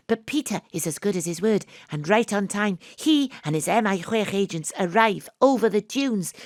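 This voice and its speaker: A woman's voice: fairly high pitch, a bit bright, no echo, clean studio sound. A woman playing a plucky, self-assured investigator, narrating her adventure as dramatic first-person storytelling in an audio drama. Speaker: lead narrator.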